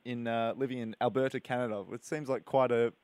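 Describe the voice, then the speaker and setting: A man's voice: medium pitch, level tone, fairly quick, darkish tone, clean tone, no echo. A man co-hosting a radio show, bantering and laughing in a studio. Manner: jokey, laughing, enthusiastic. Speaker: radio co-host.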